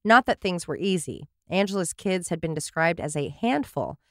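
Woman true crime host, wry and sarcastic; low voice, dry close sound. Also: animated, normal speed, fairly bright tone, no echo. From Portland area, USA. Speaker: podcast host telling a true crime case. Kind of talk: scripted true crime narration with asides.

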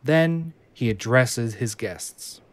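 A man narrating the stage directions of an audio drama in a steady, descriptive reading. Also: medium pitch, slow, no echo.

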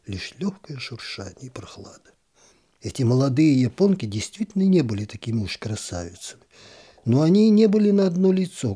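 A man reading a literary short story aloud in a calm, even storytelling manner, now and then dropping to a whisper. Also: medium pitch, breathy edge. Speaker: narrator.

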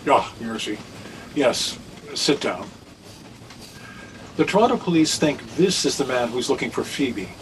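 An older man acting in a radio drama as an anxious, grave reverend with a deliberate, formal way of talking. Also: mid-range voice, very animated. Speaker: radio play actor. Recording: distant, noisy video call audio.

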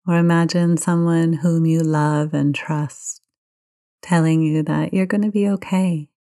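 A woman guides a meditation in a low, soft-toned and mellow voice. She takes her time, speaking very slowly. Her manner is gentle and soothing throughout. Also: fairly even intonation. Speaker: meditation teacher.

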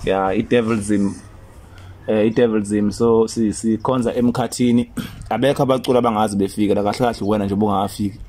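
A man's voice: fairly low pitch, fairly even intonation, fast talker, mellow. A young man hosting a comedy prank phone call outdoors, talking in casual street style. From South Africa. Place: outdoors beside a parked car under a carport.

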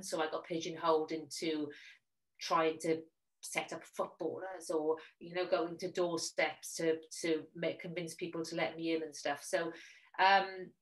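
A woman's voice: low-pitched, a bit bright, rough recording. A woman in her forties with a Welsh accent, a magazine editor speaking openly in a video-call interview over a headset. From Wales. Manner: open, candid, talks in long runs. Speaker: magazine editor-in-chief, guest. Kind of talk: casual interview chat.

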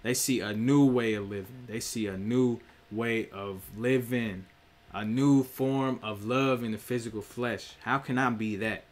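A young man talks straight into his webcam from a small room. He lectures in a loose, preachy way, peppered with swearing and direct appeals to his viewers. His pitch sits at a mid level for a man. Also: fairly even intonation, a little slow. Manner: profane, preachy, direct address. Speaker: streamer. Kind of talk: casual spiritual monologue.

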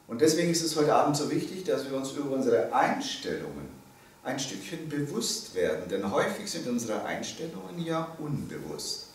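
A man in his early seventies giving a public lecture, speaking emphatically and deliberately, pausing for weight and putting questions to his listeners. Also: a little high. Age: early 70s. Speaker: lecturer, theology professor. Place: indoor seminar room. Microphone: microphone on a stand. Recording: very echoey room, distant, faint noise.

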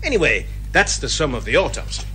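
A genial, bumbling inspector in a radio detective comedy. His voice is fairly high and lively, and he rambles along hesitantly in a folksy way, with faint music at times. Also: brisk. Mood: apologetic, rambling. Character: bumbling, genial police inspector with creaky memory. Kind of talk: radio comedy drama acting.

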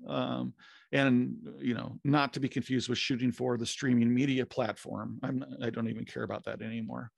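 Gravelly man rambling through studio talk. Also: mid-range voice, flat delivery, normal speed, no room sound. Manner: rambling, many fillers and restarts. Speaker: podcast co-host. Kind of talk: casual technical chat. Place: home music studio. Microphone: studio mic with headphones, video call.

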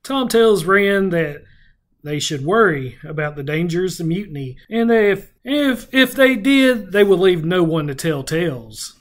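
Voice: medium pitch, expressive, measured pace. A podcast host recapping a fantasy novel in a casual read-along chat. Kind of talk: book recap with casual banter.

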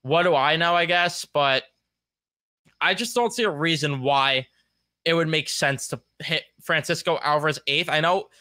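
A young man in his twenties talks through a postgame baseball recap alone from a home room. His voice is bright, pitched a little high and smooth, recorded dry and close. He is blunt and frustrated and rambles as he works through the numbers. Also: normal speed, dry recording. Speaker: sports podcast host. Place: home room, gaming chair.

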